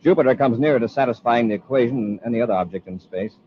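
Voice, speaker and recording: An actor playing a commanding space patrol commander with clipped authority in 1950s radio drama style. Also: male voice, mid-range voice, racing, lightly acted. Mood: thoughtful. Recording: muffled old radio recording with hiss.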